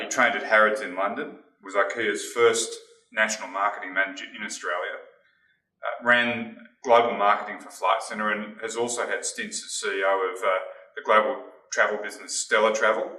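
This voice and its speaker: A man hosting a podcast, introducing his guest and asking questions in a halting way with frequent uhs. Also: on the low side, sharp tone. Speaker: podcast host. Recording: roomy sound, mic set at a distance.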